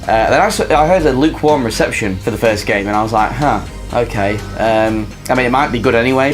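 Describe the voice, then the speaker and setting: A young man, slightly ill with a raspy voice, rambling casually through his favourite games of the year with lots of fillers. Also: medium pitch. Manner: chatty, many fillers and restarts. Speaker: video game reviewer. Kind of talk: casual rambling game review.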